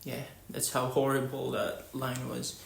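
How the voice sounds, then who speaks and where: A man's voice: mid-range voice, monotone, measured pace, creaky. A young man from the Philippines with a Filipino accent, talking casually to the camera as a vlogger. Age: young adult. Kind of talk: casual vlog talk to camera.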